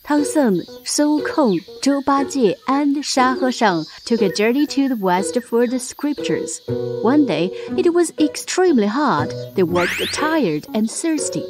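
A woman's voice: sits high, lively intonation, measured pace. A woman narrating a scripted children's story as a storyteller, with music playing behind her.

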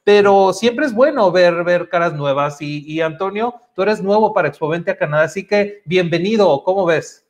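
A man's voice: high-pitched, normal speed, few pauses. A man in his thirties hosting a live webinar in a chatty, upbeat, informal way over a headset on a video call. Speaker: host of a study-abroad webinar. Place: indoor room, on a video call. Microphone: wired earphone headset.

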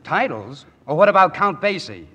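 An actor playing a cocky, friendly young American soldier in a 1940s radio play, breezy and wisecracking, with an American accent. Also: male voice, high voice, sing-song, normal speed, sharp tone, highs cut off, heavily acted. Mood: brash.

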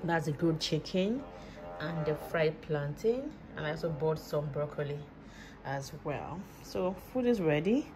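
Chatty Nigerian-born mother, lowish voice. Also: lively intonation, a little slow, music in the background. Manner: chatty, laughs often, simple repetitive phrasing. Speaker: vlogger and mother. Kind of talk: casual home vlog chat. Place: home kitchen.

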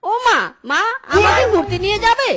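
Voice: very high voice, expressive, moderate pace, heavy background noise. An actor voicing a childish, crazed fox husband in a cartoon, babbling, giggling and whining like a small child. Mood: silly, childlike. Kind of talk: exaggerated cartoon voice acting. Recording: loud music under the voice.

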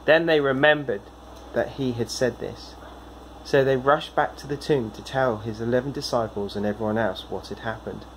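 A man's voice: medium pitch, fairly even intonation, normal speed, slight background noise, low-quality recording. A man in his thirties reading a Bible passage aloud at home into a phone. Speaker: Bible reader. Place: room at home, indoors. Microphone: phone propped at arm's length.

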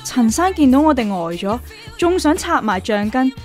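A modest woman renter with a Hong Kong accent, telling her story in a radio drama. Her voice is on the high side, polite and understated with a wry edge. Music plays faintly behind. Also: sing-song, normal speed. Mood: narrating. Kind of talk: radio drama dialogue and inner monologue.

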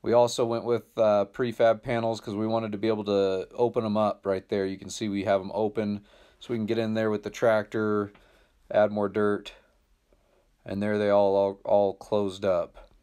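A man's voice: fairly low pitch, flat delivery, moderate pace, mellow, clear, resonant tone. An American man in his thirties talking casually to viewers in a laid-back, plain-spoken way, like a DIY vlogger. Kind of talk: casual vlog narration to viewers.

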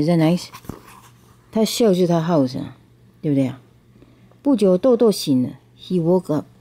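A woman with a soft-edged, very mellow voice reads a storybook to a child. Her pitch is low for a woman. She switches between reading and plain bilingual explanation, often asking small questions to check understanding. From Taiwan. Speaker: parent reading a picture book to child.

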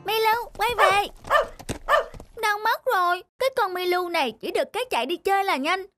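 A performer voicing a young, emotional, easily jealous cartoon girl with an expressive, plaintive, childlike delivery. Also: very high-pitched, fairly quick, music in the background. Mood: scolding. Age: child character. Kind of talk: cartoon voice acting.

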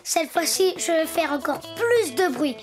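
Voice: extremely high pitch, expressive, a little slow. A performer voicing a cheerful, imaginative little boy donkey in a children's cartoon, with playful exclamations and simple singsong phrases. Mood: enthusiastic. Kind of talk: children's cartoon dialogue, talking to himself and viewers. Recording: music behind the voice.

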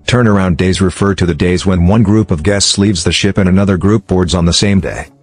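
A man reading a scripted explainer narration in a measured, formal way. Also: sits low, fairly even intonation. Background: background music.

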